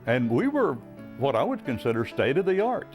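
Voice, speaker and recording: A man's voice: medium pitch, sing-song, normal speed, faint music behind. An American man in his seventies, a veteran broadcaster, reminiscing in unscripted talk. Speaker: veteran former broadcaster, guest.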